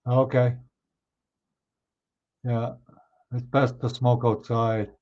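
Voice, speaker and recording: A man hosting a livestream, chatting casually with viewers and answering their comments, his voice a bit hoarse. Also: mid-range voice, little pitch movement, very slow. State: hoarse, clearing his throat. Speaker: livestream host. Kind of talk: casual chat with live viewers. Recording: somewhat dull, lo-fi stream audio.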